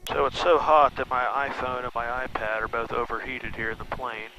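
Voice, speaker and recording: A man's voice: medium pitch, flat delivery, moderate pace. A man narrating a casual flying vlog and making radio calls as a pilot over an aviation headset. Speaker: private pilot and video host. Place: small plane cockpit in flight. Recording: muffled aviation headset, engine noise. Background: aircraft engine drone.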